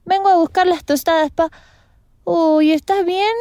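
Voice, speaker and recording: A woman playing a young Argentine daughter in an audio drama, talking in an affectionate, brisk, reassuring way. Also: very high-pitched, fairly even intonation, darkish tone, no room sound.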